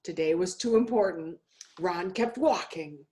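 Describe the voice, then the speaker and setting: A woman reading a children's story aloud in a deliberate, expressive way, as a guest minister. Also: on the low side. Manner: slow, deliberate, expressive phrasing. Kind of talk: storybook read-aloud for all ages.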